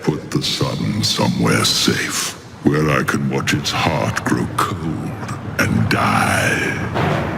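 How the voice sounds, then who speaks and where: An ancient, bitter cartoon overlord. The voice is extremely low and thick with gravel, growling out possessive, ominous words. Loud music runs behind it. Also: male voice, heavily acted. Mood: brooding menace. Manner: drawn-out, ominous. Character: ancient brooding dark villain, bitter and possessive.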